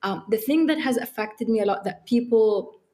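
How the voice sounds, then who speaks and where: A woman's voice: on the high side, moderate pace, dark tone. A young woman from Libya, talking as an interview guest, hesitant with many ums and restarts. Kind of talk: casual interview talk.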